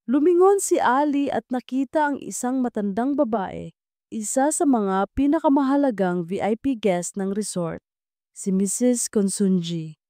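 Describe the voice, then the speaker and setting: A woman's voice: on the high side, expressive, a little slow, dark tone, no echo, clean studio sound. A woman reading a scripted dramatic love story as a storyteller.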